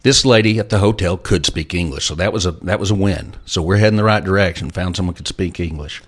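A man's voice: low voice, fast talker, slightly rough. A middle-aged man as an interview guest, telling folksy stories and handing out life advice. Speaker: guest entrepreneur. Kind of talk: casual storytelling interview.

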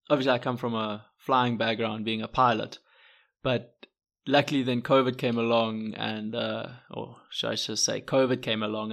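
Earnest man, flat delivery. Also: medium pitch. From South Africa. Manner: earnest, reflective, loose with fillers. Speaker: podcast co-host, adventure guide. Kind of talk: casual podcast talk.

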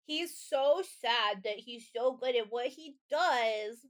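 A woman's voice: a little high, takes it slowly. A woman co-hosting a podcast, bantering casually in an emphatic, candid way and swearing freely. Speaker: podcast co-host.

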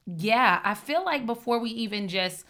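A woman from Oklahoma hosting a podcast, upbeat and expressive in casual chat. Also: fairly high pitch, moderate pace. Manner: upbeat, expressive, says "honey". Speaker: podcast host.

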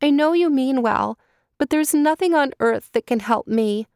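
A woman's voice: high-pitched, animated, normal speed, a little mellow, dry recording, clean studio sound, pitched above the performer's own voice. A woman voicing a young captive girl in a storybook, speaking in an earnest, pleading way.

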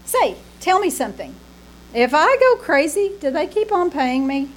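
A woman's voice: high-pitched, sing-song, normal speed, low-quality recording. A woman playing a dizzy, boastful young Hollywood starlet in old-time radio comedy style, gushing and theatrical with comic declarations. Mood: brash, boastful. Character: dizzy, hyperactive young female movie starlet, boastful and excitable. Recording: live theater stage with background noise.

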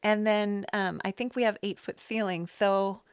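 A woman's voice: mid-range voice, fairly even intonation, normal speed, clean tone, no echo, clean studio sound. A middle-aged American woman hosting a casual podcast, telling stories in a rambling, self-deprecating way. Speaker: podcast host. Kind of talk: casual conversational podcast chat. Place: small loft space at home.

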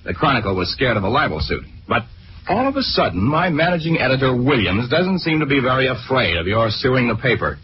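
An actor playing a brash, cocky young newspaper reporter, combative and slangy, in a 1940s radio drama. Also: male voice, medium pitch, sing-song, fairly quick, close to an everyday voice. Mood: taunting, angry. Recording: noisy, muffled 1940s radio recording.

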